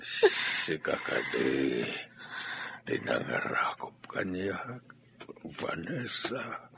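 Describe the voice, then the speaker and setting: A man's voice: deep voice, sing-song, drawn-out words, vocal fry, bright, forceful tone, full character voice. A man playing a frail, ailing old father in a radio drama, tender, with a weak, whispery voice and labored delivery. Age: older. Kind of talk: Filipino radio drama acting.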